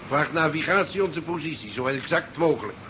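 Through a dull, hissy old radio recording comes a stern ship's commander. His somewhat high voice is measured and authoritative, with dry disapproval. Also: lively intonation, bright, forceful tone. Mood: resigned, businesslike. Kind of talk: 1970s Dutch science fiction radio drama acting. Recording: muffled, noisy 1970s radio recording.

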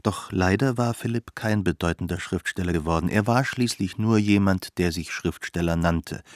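A deep-voiced visitor played by an actor in a radio play. He takes stock soberly, with mild disappointment, in measured phrases. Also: no room sound, clean studio sound, close to an everyday voice. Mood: dismissive.

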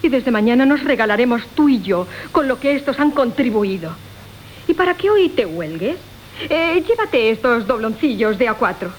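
A woman's voice: fairly high pitch, very animated, moderate pace, poor audio. A woman playing a scheming, wheedling coquette in a classical radio comedy. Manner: theatrical, wheedling. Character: scheming, coquettish woman Bárbara, duping her lovers. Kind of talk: Spanish classical comic radio theatre acting. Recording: hissy 1980 radio broadcast.

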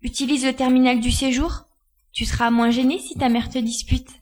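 Woman as a high-voiced helpful young girl. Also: flat delivery, moderate pace, darkish tone. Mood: matter-of-fact. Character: Chara, helpful young girl, plain-spoken. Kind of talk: French audio drama acting.

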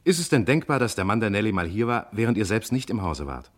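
An actor playing a calm, probing investigator in a German radio crime play, matter-of-fact and measured. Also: male voice, on the low side.

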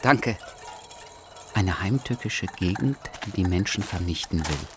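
An actor playing a sceptical, grumbling stranded train traveller in a radio play, dry and muttering. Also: male voice, deep voice, lively intonation, a little slow. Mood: puzzled, grumbling. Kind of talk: German radio play dialogue and monologue. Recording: clearly noisy background.

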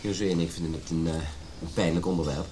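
An actor playing a polite, modest husband in a radio play, courteous and measured. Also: male voice, low voice, fairly even intonation, background noise, natural voice. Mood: tactful. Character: polite, tactful, modest husband named Hans.

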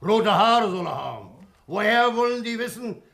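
A creaky, fairly high-pitched man's voice makes a blustering sea captain. He is emphatic and gruff yet full of bonhomie, in the manner of an old radio drama. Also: expressive, moderate pace, a bit bright, heavily acted. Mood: grumbling. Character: jovial, blustering, grumbling old-school ship's captain. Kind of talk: 1960 German radio crime drama acting.

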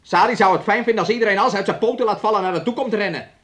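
Domineering young fiancé, higher-pitched man. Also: rapid-fire, a bit bright. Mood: angry. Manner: speaks of himself and her in third person, taunting. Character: domineering, needling young fiancé, resentful and moody. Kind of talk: radio play acting.

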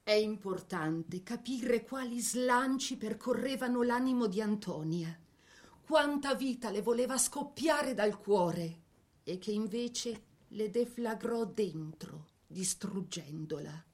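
A woman reading a scripted biographical narration for a dramatic piece, with measured, theatrical phrasing. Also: medium pitch, lively intonation, a little mellow.

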